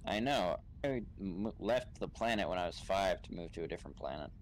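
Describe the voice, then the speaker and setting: Guy doing a chill young space sniper in a game rp, lowish voice, short unsure answers, noisy mic. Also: expressive, fairly quick. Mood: laid-back. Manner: laconic, hesitant. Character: easygoing, laconic 27-year-old male sci-fi sniper. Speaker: Star Wars roleplay player. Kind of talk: improvised in-character gaming chat. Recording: noisy gaming voice chat.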